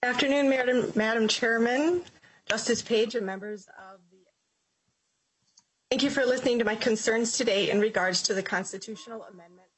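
A woman reading prepared testimony before a legislative committee in a formal, measured way. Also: fairly high pitch, low-quality recording. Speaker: citizen giving testimony.

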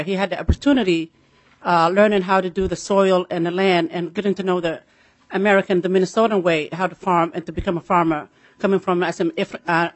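A middle-aged woman with a Somali accent giving earnest, halting public testimony, repeating phrases for emphasis. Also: lowish voice, dry recording, low-quality recording. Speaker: farmer testifying at a legislative committee.

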